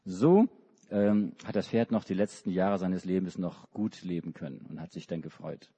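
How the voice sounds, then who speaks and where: A man's voice: sits low, normal speed, dark tone, husky. A middle-aged man from Hamburg, a preacher, telling a children's story in a church service with many restarts and hesitations. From Hamburg, Germany. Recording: dull recording in a reverberant hall.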